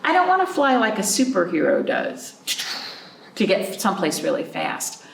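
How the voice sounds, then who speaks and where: A woman hosting a library storytime, reading picture books and chatting to young children in an animated way with simple questions. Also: mid-range voice, moderate pace, creaky. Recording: roomy, distant mic with background noise.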